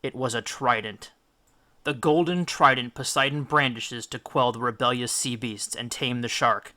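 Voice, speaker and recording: A man narrates a storybook in a bright, forceful voice of middling pitch. His delivery is scripted and theatrical, with deliberate, careful phrasing. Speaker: audiobook reader.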